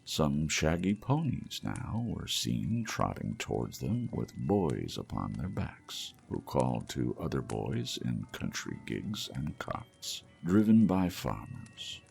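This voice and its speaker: Male narrator, very low voice, gravelly, slow measured reading, animated pitch, faint background music. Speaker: audiobook narrator. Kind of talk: scripted storybook narration.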